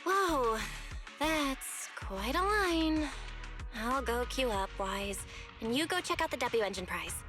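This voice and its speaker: An excitable young contestant in a video game. Her high voice swings eagerly, with music clearly in the background. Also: takes it slowly. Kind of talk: game cutscene dialogue.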